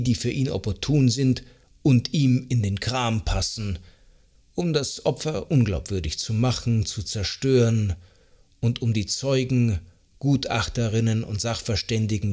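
A man reads scripted nonfiction as an audiobook narrator, in an articulate, measured, explanatory way. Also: mid-range voice, darkish tone. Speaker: audiobook narrator of a psychology self-help book.

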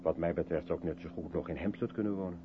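A man's voice: low-pitched, quick pace, close to an everyday voice. An actor playing a guarded, self-doubting intellectual man in a radio play, brooding and hesitant as he questions himself. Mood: guarded, reflective. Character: withdrawn, self-doubting mixed-race South African man, guarded intellectual. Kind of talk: 1970s Dutch radio play acting. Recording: tinny band-limited old radio recording, faint hiss.

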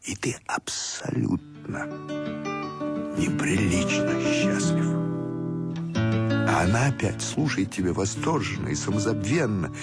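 Low male voice telling a story, kinda wry, acted radio play narration, loud guitar music behind. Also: huge pitch swings, slow pace, pauses often. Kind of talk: scripted literary narration in second person.

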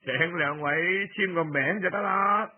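A man's voice: high voice, a little slow, phone-quality sound. A male storyteller voicing a self-important, pushy ancient Chinese duke in a pompous, formal manner for an audiobook tale. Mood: brusque, presumptuous.

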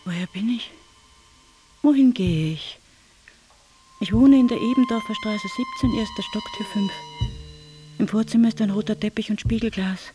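A woman's voice: mid-range voice, normal speed, long pauses, mellow, natural voice, low-quality recording. An actress playing a lonely, melancholy young woman, brooding plaintively in a radio play monologue. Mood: melancholy, yearning. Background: instrumental music.

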